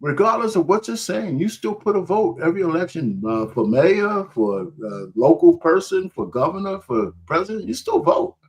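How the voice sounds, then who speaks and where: A man on a podcast panel argues his side with lively pitch swings that rise and fall a lot. He sits a little above the usual male range and keeps pressing his point, often repeating himself. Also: normal speed, hardly any pauses, husky. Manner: emphatic, argumentative, repeats himself. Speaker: panel guest. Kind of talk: heated debate.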